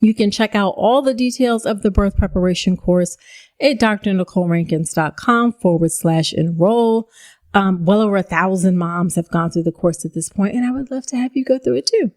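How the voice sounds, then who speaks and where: A woman hosting a pregnancy podcast, who introduces episodes and then interviews guests. Her manner is encouraging and affirming. Her pitch sits in the middle of the usual range for a woman. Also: moderate pace, a little mellow. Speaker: podcast host, obstetrician.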